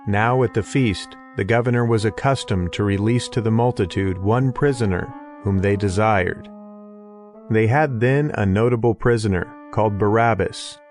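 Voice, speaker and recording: A man's voice: lowish voice, moderate pace, darkish tone. A man giving a scripted narration of an audio Bible. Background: music behind the voice.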